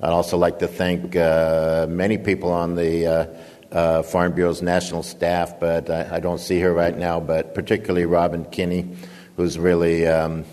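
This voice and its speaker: Older guy speaking at a conference, deep flat voice, lots of uh and um, echoey hall. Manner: booming, halting with many fillers. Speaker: guest speaker, nonprofit founder and director. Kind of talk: conference speech.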